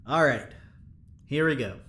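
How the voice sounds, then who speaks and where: An actor playing a weary, easygoing lab assistant in an audio drama, talking in a folksy, laid-back way. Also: male voice, mid-range voice, sharp tone, reverberant, a little hiss or hum, full character voice. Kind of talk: audio drama, casual log.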